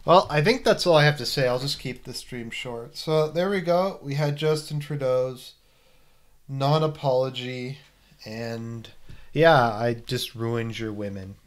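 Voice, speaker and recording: A man hosts a casual live stream, talking loosely over the clips he plays. His tone is sarcastic and rambling, and his pitch sits in an ordinary middle range. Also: unhurried.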